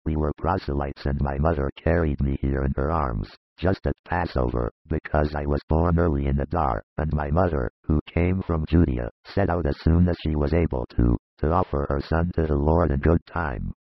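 A man's voice: moderate pace, few pauses, dry recording, highs cut off, heavily acted. A man voicing a grieving, remorseful male disciple who speaks in a heavy, brooding confession, read as an audiobook. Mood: mournful. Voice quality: very deep gravelly husky voice. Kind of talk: audiobook reading of a religious narrative.

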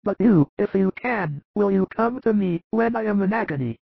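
A man's voice: high voice, lively intonation, normal speed, vocal fry, no echo, phone-quality sound, voice pushed up, heavily acted. A man voicing a frail, dying man who pleads tearfully and fears death. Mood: weak pleading.

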